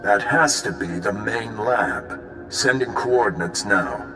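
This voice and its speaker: An actor playing a decisive, businesslike spy chief briefing an agent in a video game. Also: male voice, on the low side, expressive, slow pace, big-room sound, over music. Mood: brisk, urgent. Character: gruff, brisk male spy chief giving mission briefings. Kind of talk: video game cutscene acting.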